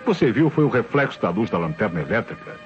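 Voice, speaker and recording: Commanding, animated Brazilian police inspector. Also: male voice, fairly low pitch, quick pace. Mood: authoritative. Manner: authoritative, deductive, emphatic. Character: commanding, sharp-witted male police inspector solving a murder. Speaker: radio actor. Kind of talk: old Brazilian radio mystery acting. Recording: dull old radio recording with music.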